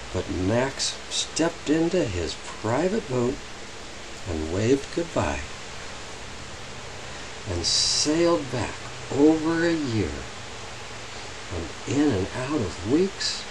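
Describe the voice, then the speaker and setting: A man reading a children's picture book aloud with dramatic, drawn-out phrasing. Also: mid-range voice. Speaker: storybook reader. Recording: hissy, noisy home recording.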